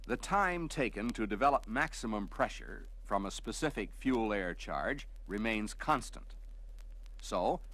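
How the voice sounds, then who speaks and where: A man narrates scripted instructional material in a measured, explanatory way, like a training film narrator. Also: mid-range voice, a bit bright. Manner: measured, explanatory, clearly structured delivery.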